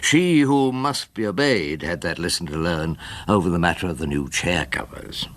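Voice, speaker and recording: An older actor with an English accent playing a wry, rumpled ageing barrister in a radio drama, droll and theatrically ruminative. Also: male voice, low-pitched, lively intonation, moderate pace, a bit bright. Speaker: lead character and narrator.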